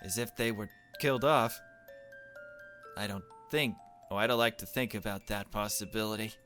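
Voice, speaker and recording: A man's voice: lowish voice, normal speed, a bit bright, soft background music. A man reading a visual novel aloud as a gaming host, with joking, irreverent asides. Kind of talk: let's play, dramatic reading mixed with joking commentary.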